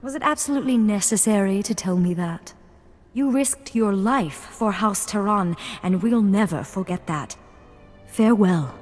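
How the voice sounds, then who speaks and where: A woman's voice: mid-range voice, moderate pace, natural voice. An actress playing a refined, flirtatious noblewoman in a video game cutscene, speaking with formal poise. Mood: wistful, composed. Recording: long hall reverb, music behind.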